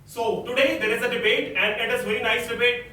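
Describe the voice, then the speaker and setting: A man's voice: very high-pitched, steady tone, fairly quick, pushed and bright. A man with an Indian English accent, a teacher moderating a student debate in a classroom with firm prompts to his students. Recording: echoey room, picked up from far off.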